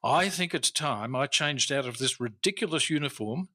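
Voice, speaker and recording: An actor playing a boastful, famous Victorian-era detective inspector in an old-time radio drama, formal and theatrical in manner. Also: male voice, medium pitch, expressive, normal speed, sharp tone. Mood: self-assured, storytelling. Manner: theatrical, self-assured, formal.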